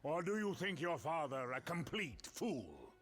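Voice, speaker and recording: Game role: commanding elderly divine patriarch, authoritative, slow, widely swinging intonation. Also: male voice, on the high side, huge pitch swings, very noisy. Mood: angry reproach. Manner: grand, authoritative. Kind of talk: video game cutscene acting. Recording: loud music behind. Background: loud music.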